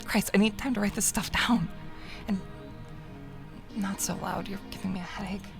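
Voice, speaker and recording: A woman's voice: mid-range voice, fairly even intonation, normal speed, gravelly, over music, close to an everyday voice. A woman playing a tired young woman in a visual novel phone conversation, muted, dry and hesitant. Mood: weary, sardonic.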